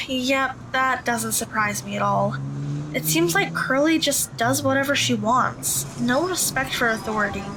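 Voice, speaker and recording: An actress playing a polite, earnest high school girl in an audio drama, with a measured, matter-of-fact delivery. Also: animated, background noise. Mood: reserved, polite.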